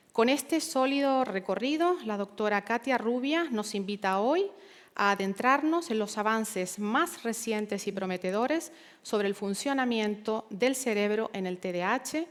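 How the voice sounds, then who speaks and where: A woman reads out a formal introduction of a guest speaker in a measured, even delivery at a middle pitch. Her voice rings with the long reverb of a large hall. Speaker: event presenter introducing the speaker. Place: conference hall.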